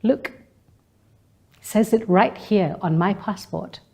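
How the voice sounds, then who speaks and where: A woman from Malaysia delivers a scripted spoken-word monologue in a deliberate, rhetorical manner, building through repetition and lists. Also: medium pitch, creaky, darkish tone, breathy edge.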